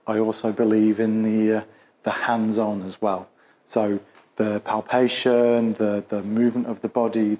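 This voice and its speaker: Male clinical instructor, mellow and low, questions and explains with frequent restarts, slight noise behind. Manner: hesitant, often repeats words and rephrases. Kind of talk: case history questioning and explanatory teaching.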